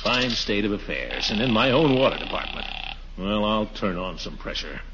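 A blustering middle-aged uncle in a 1940s radio comedy. He sputters pompously and mutters his grievances with big swings in pitch, through heavy noise on a muffled old recording. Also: big pitch swings, moderate pace. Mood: indignant. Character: blustering, self-pitying middle-aged water commissioner, pompous household uncle. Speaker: lead actor in a radio comedy.

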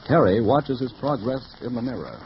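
An actor as a wry, theatrical narrator and announcer in 1940s radio style. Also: male voice, medium pitch, very animated, moderate pace, a little hiss or hum. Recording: muffled vintage radio recording. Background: music.

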